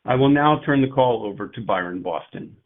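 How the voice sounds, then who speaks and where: A male company executive speaking in a measured, formal, technical way on an investor earnings call. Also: medium pitch, expressive. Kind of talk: prepared investor remarks and analyst Q&A on an earnings call. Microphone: conference call line. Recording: tinny narrow-band phone line.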